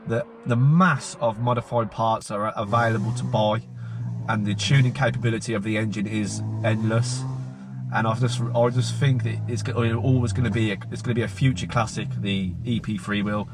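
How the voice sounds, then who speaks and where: A man with a cold hosts a relaxed car video, his voice croaky and a little worn. He rambles in an easy, informal way, often restarting his sentences and leaning on fillers. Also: fairly low pitch, normal speed, noisy background. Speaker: car channel host. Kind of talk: casual informative car vlog.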